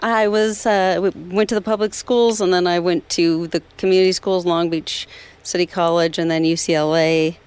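An American woman with a fairly low voice speaks as an interview guest. She tells her story conversationally, with emphatic, repeated phrases. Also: fairly even intonation, normal speed, darkish tone, clean tone. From southern California. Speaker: interview guest, volunteer rescuer.

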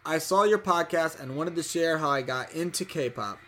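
A man co-hosting a casual podcast, reading listener messages aloud with upbeat shout-outs and easy laughter. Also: a little high, normal speed. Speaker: podcast co-host.

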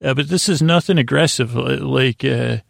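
A man with a creaky voice hosting a sleep podcast, talking in a halting, meandering, lulling way that keeps doubling back on itself. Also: mid-range voice, dry recording, clean studio sound. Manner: halting, meandering, self-interrupting, gently absurd; soothing, lulling delivery. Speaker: podcast host. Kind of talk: rambling bedtime monologue to help listeners sleep.

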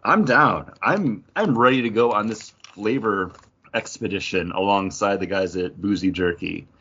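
A man chatting casually as a podcast host, with a playful, enthusiastic manner and a husky voice. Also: fairly low pitch, normal speed, a bit bright, muffled recording. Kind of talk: casual joking podcast chat.

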